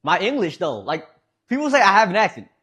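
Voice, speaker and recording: A man's voice: high voice, very animated, fairly quick, fairly bright tone, breathy edge. A man with a Midwestern American accent streaming from home, rambling casually to his chat and laughing often.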